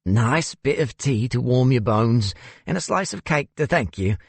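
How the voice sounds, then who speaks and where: A man voicing a courteous, wistful older gentleman with a South African accent and a theatrical, hesitant manner in an audiobook. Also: mid-range voice, animated, unhurried, creaky, slightly rough, dry recording, pitched above the performer's own voice, heavily acted. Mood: warmly courteous. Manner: theatrical, hesitant, old-fashioned politeness.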